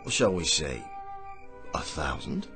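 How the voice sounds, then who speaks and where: A man's voice: a bass voice, very animated, sharp tone, soft background music, full character voice. An actor playing a courteous, insinuating miracle worker and con man in a radio drama. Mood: sly, haggling. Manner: courteous, insinuating, drawn-out mock politeness. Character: smooth-talking, sly male miracle worker and con man.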